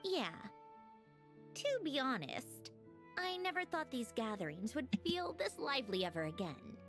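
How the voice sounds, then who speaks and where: A woman's voice: sits high, sing-song, a little slow, over music, heavily acted. A woman playing a brusque, proud young woman in an anime-style game, huffy and theatrical but fond of her friends.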